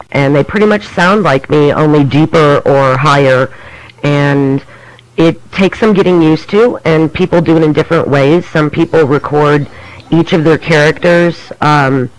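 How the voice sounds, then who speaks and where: Higher-pitched man in a dry-sounding space. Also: dry recording, rough recording. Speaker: podcaster, panel speaker. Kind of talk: informal explanatory talk.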